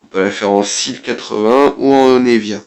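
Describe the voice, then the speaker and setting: A man's voice: medium pitch, steady tone, normal speed, noisy background. A man, who has a cold, giving casual, blunt let's play commentary as a gaming YouTuber and swearing offhandedly.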